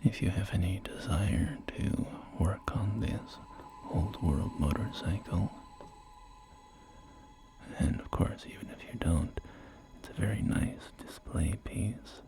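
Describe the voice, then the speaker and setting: A man whispering an ASMR roleplay as a polite, helpful real estate agent, in gentle, unhurried sales patter, with music behind him. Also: sits low, gravelly. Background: loud music and game audio.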